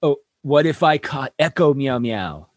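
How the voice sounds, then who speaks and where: A man's voice: medium pitch, expressive, measured pace, close to an everyday voice. A man playing an exasperated, tactless human starship captain in a sci-fi radio comedy, blustering and pleading as he talks. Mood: frustrated.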